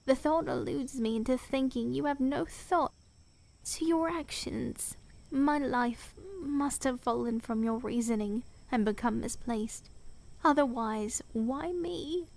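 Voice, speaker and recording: An actress playing a young princess, earnest and proud, with formal archaic diction in a theatrical audio drama. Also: female voice, sits high, slow, vocal fry, darkish tone, heavily acted. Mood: pleading, sorrowful. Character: young princess, pleading yet proud and imperious.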